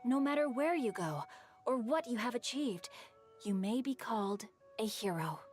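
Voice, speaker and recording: A woman's voice: a little high, expressive, moderate pace, darkish tone, lightly acted. A woman voicing a bookish, chivalrous teenage boy swordsman in a video game, with an eloquent, poetic way of talking. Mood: admiring, lofty. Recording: game music behind.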